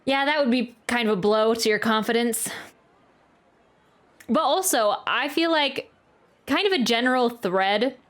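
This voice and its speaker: A woman's voice: on the high side, expressive, measured pace, pauses often, a bit bright. A woman streamer giving casual live game commentary, chatty and musing aloud, close to the mic. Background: game music.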